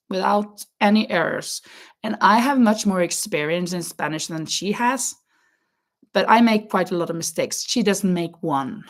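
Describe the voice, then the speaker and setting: A woman with a non-native English accent chats with her viewers in a relaxed, rambling way. She laughs a lot and often pauses mid-sentence to search for the right English word. Her pitch sits in an ordinary middle range for a woman. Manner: chatty, laughs often, hunts for words. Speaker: crochet live-stream host. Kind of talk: casual live-stream chat, answering viewer comments.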